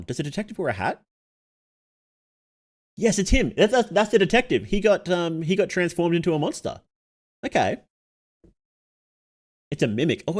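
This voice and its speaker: A man's voice: fairly high pitch, breathy edge. A man giving casual livestream commentary, thinking aloud as he goes, musing and questioning himself. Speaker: streamer reacting to horror videos.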